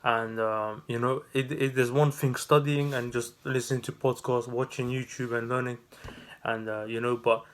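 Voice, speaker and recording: A man in his early twenties from the Netherlands, talking straight to camera with no notes. He rambles and restarts often, filling gaps with "um" and "you know", in a mid-pitched, rather flat voice. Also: moderate pace. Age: 20s. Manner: rambling, many fillers and restarts. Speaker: vlogger and new business owner. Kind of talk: unscripted casual vlog.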